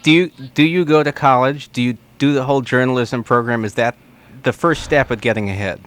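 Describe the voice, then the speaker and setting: A man's voice: mid-range voice, brisk, fairly bright tone. A man hosting a conversational call-in interview show on the radio. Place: radio studio.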